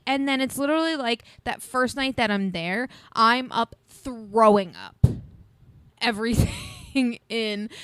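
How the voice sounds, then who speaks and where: A woman's voice: on the high side, fairly bright tone, no room sound. A woman around thirty co-hosting a podcast, chatting casually and a bit sniffly, rambling with frequent laughs. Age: 30s. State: sniffly, congested. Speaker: podcast co-host. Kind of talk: casual chat.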